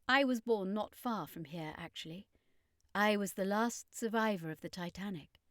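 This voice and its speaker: An elegant female siren of an audiobook fantasy, played by a woman narrator. She speaks posh, refined English in a measured way, with a wistful temper. The sound is dry and close. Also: mid-range voice, a bit bright, dry recording, lightly acted. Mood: wistful, candid.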